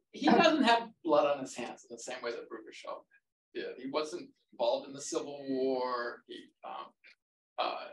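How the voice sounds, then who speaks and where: A man answering questions about his book at a roundtable, halting and full of fillers and restarts, in a conference room before an audience. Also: mid-range voice, a bit bright. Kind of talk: academic discussion. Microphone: far room mic. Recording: echoey room, distant mic, faint noise.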